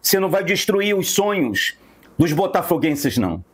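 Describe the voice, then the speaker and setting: A man with a Brazilian accent and a husky voice, delivering an indignant, emphatic football fan rant that keeps hammering phrases. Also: sits high, big pitch swings, moderate pace.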